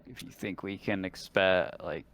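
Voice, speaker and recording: A man playing a tabletop game, talking casually in a halting, musing way, with faint noise on the recording. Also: sits low, fairly even intonation, creaky, fairly bright tone.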